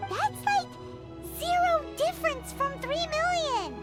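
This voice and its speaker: A woman voicing a tiny, chatty fairy companion in an anime game, with a squeaky childlike voice and sassy, exclamatory delivery. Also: lively intonation, slow, exaggerated voice. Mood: indignant, whiny. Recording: loud background music.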